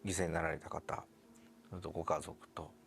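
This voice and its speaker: Low, gravelly man speaking very fast. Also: flat delivery, fairly bright tone. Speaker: doctor being interviewed. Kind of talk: interview answer. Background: faint music.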